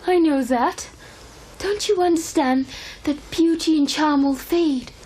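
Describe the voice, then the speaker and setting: A woman's voice: high-pitched, takes it slowly, mellow, rough recording. A woman voicing a wealthy, discontented courtesan in a cartoon, speaking earnestly and formally as she seeks freedom. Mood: eager, resolute. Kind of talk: cartoon voice acting.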